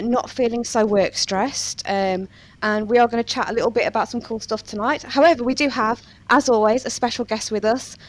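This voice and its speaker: Female podcast host, higher-pitched, chatty and wry, putting questions in an informal, playful way. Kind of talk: casual interview chat.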